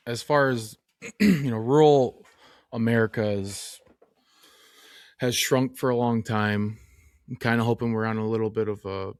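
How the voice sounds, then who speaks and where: A man's voice: fairly low pitch, darkish tone, no echo. A man hosting a podcast who asks long, rambling interview questions. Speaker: podcast host.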